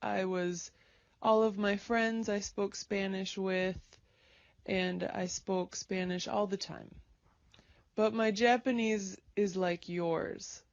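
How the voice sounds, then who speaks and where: A woman talks slowly and clearly through an easy conversation for learners, asking plain questions. The recording is dull and lo-fi, dry and close. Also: mid-range voice, fairly even intonation, no echo. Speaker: conversation partner in English lesson. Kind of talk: slow, simple conversation for learners.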